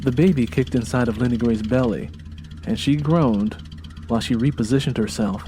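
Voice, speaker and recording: Mellow American man narrating a story. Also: medium pitch, normal speed, slight background noise. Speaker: audiobook narrator. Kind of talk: dramatic scripted story narration. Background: faint music bed.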